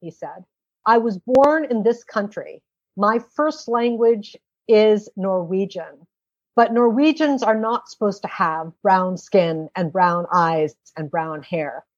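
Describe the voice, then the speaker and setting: An American woman answers as an interview guest, explaining things with emphasis and rhetorical questions. Her pitch is average, and the sound is close and dry with a slightly muffled top end. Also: slow, no echo. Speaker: interview guest, former government policy expert. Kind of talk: conversational interview answers with anecdotes.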